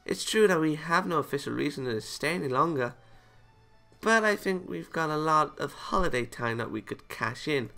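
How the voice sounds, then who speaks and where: A man's voice: on the high side, expressive, moderate pace, gravelly, sharp tone, soft background music, exaggerated voice. A man voicing a cheerful, optimistic and bossy anime angel girl from a visual novel game. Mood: breezy, reassuring.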